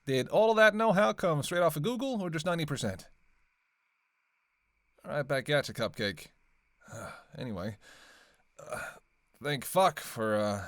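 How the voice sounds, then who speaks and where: A man's voice: on the high side, no echo. Man playing a teasing, sardonic vampire. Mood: indignant. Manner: teasing, casual, profane, sarcastic banter. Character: flirty, sardonic male vampire on a road trip. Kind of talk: roleplay audio drama monologue.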